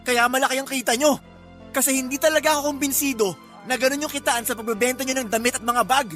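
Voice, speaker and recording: A two-timing Filipino boyfriend who talks fast in a high voice. He is indignant and demanding in radio-melodrama style, over faint music. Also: steady tone, sharp tone. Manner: defensive, demanding. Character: cheating live-in boyfriend, indignant and demanding man. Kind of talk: Filipino radio drama acting.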